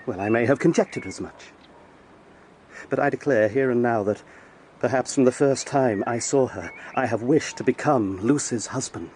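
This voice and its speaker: An actor playing an earnest, persistent young gentleman lawyer in a period audio drama, speaking formally. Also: medium pitch, moderate pace, a little hiss or hum, natural voice. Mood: insistent. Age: young adult. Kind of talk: British period audio drama, narration and dialogue.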